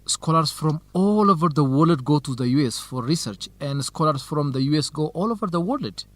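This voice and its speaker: A man's voice: on the high side, animated, moderate pace, close to an everyday voice. A young man playing an eager, nervous journalism student in an educational radio drama, speaking earnest, carefully enunciated English.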